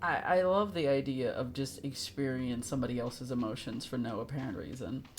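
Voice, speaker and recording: A woman's voice: very deep voice, fairly quick. A woman giving casual live commentary as a game streamer, chatty and wry with a sarcastic streak.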